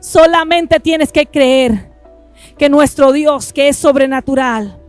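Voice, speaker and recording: A woman's voice: high-pitched, soft background music. A woman preaching an impassioned sermon and offering appeal, pressing her listeners and often breaking in with "listen to me". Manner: impassioned, repeats "listen to me", swings between rushed and drawn-out phrases.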